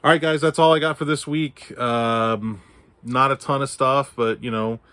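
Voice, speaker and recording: A man's voice: mid-range voice, fairly even intonation, normal speed, sharp tone, clear, resonant tone, no room sound. A man from Texas chatting casually about his comic book haul, his voice scratchy from allergies, rambling with fillers and restarts. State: hoarse, scratchy throat from allergies. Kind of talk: casual show-and-tell chat.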